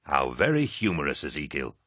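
A reluctant, wry male warrior captain, acted by a male narrator in an audiobook. He speaks very low and understated, close and dry, protesting without raising the voice. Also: huge pitch swings, a little fast, a bit bright, dry recording, heavily acted.

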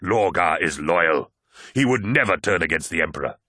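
A man voicing a towering, one-eyed giant warrior in an audiobook, angry yet noble, grave and commanding, with a husky edge. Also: low voice, expressive, moderate pace, sharp tone, no room sound, studio quality, pitched below the performer's own voice, full character voice. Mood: indignant.

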